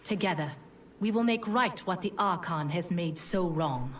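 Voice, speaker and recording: Low, conspiratorial fallen angel. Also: female voice, lively intonation, fairly bright tone, a little hiss or hum. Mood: grave, conspiratorial. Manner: grave, deliberate, conspiratorial. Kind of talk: fantasy video game voice acting. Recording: distant voice with background ambience.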